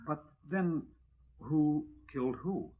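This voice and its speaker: An actor playing a deferential, methodical British detective in a formal, measured 1950s radio drama style on an old recording. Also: male voice, a little high, lively intonation. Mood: pondering. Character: deferential, methodical male Scotland Yard detective. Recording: tinny, narrow-band vintage radio recording.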